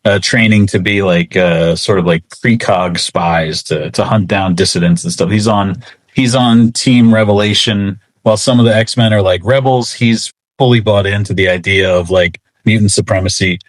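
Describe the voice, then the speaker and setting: A man with a fairly low voice talks as a guest in a casual interview. His explanations ramble along informally, hedged as he goes, and the sound is close and dry. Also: steady tone, moderate pace, dry recording. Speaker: interview guest, comics writer.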